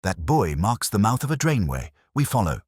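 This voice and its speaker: A man's voice: on the low side, very animated, normal speed, a little mellow, husky, dry recording, studio quality, pitched above the performer's own voice. A man voicing a shrewd detective in a mystery audiobook, speaking in a clipped, precise and commanding manner. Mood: sharp, commanding.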